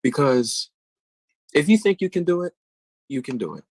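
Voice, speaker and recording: A man's voice: a little high, big pitch swings, moderate pace, a little mellow, breathy edge, no room sound. A man, a singer answering interview questions and explaining vocal technique thoughtfully and at length. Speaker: guest singer.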